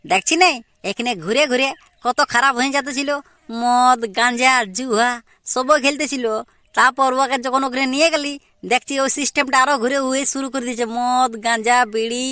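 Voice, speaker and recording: Woman voicing a bright, hot-headed young village son. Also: high-pitched, dry recording. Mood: aggrieved. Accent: Purulia Bengali. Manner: argumentative, repeatedly demanding agreement. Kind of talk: amateur cartoon comedy dialogue.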